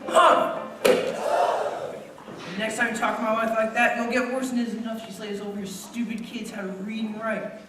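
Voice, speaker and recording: A man playing a gruff, angry barroom character in a live radio play. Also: sits high, little pitch movement, moderate pace, long pauses, noisy background, lightly acted. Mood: angry, confrontational. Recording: echoey distant theater pickup with music.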